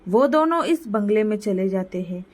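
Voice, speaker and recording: A woman's voice: mid-range voice, expressive, moderate pace, darkish tone. A woman narrating a scripted moral story as a storyteller.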